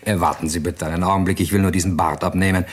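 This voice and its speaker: An actor playing a suave, evasive gentleman secret agent in a radio crime drama, with an urbane and unflustered way of talking. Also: low voice, steady tone, rapid-fire, breathy edge. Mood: relieved, chatty.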